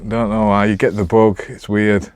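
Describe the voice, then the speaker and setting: Northern english bloke w a low voice, rambly vlog chat, bit halting, really dry close sound. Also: male voice, little pitch movement, darkish tone, smooth, no room sound. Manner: hesitant, halting, self-deprecating. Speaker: amateur vlogger. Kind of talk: casual rambling chat to camera.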